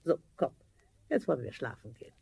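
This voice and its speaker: A woman playing a worried, homely older mother in a 1970s fairy-tale audio drama, speaking in a halting, motherly way. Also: a bass voice, very animated, vocal fry, music in the background, exaggerated voice. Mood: dismissive, motherly.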